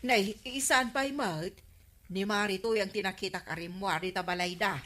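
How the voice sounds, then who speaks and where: A woman's voice: medium pitch, normal speed, sharp tone, close to an everyday voice. A woman playing an envious, gossiping neighbour who loves shopping, full of exclamations, in an Ilocano comedy radio drama. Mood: insistent.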